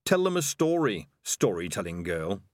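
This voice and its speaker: A man's voice: deep voice, very animated, moderate pace, no room sound, clean studio sound. A man voicing a smug, self-preserving male cat in a wry inner monologue from a storybook.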